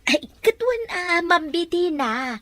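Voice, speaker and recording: A woman doing a high, lively, outspoken housemaid. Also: slow pace, dry recording, heavily acted. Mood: sad, kindly. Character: outspoken, soft-hearted female house servant. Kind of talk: Ilocano audio drama acting.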